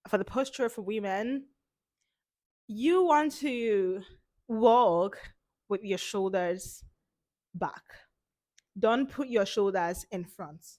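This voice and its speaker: A woman hosting an informal instructional talk as a confidence coach, speaking emphatically with repeated points and a slightly hoarse voice from a cold. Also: medium pitch, a little mellow, dry recording, studio quality.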